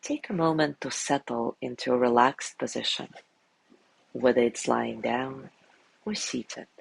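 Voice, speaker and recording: A man guiding a sleep meditation in a gentle, soothing way, softly spoken and unhurried, with long pauses between phrases. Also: medium pitch, vocal fry, breathy edge, low-quality recording. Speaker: meditation guide.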